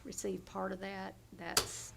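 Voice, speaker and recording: A hoarse woman presenting health department budget figures at a county committee meeting, with many fillers and self-corrections. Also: medium pitch, completely flat delivery, slow pace, heavy background noise. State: hoarse, losing her voice. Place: county government meeting room.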